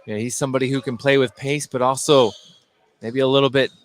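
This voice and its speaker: A man doing live play-by-play basketball commentary for radio from a high school gym. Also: steady tone, a little fast. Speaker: play-by-play announcer. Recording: faint gym noise, bouncing basketball.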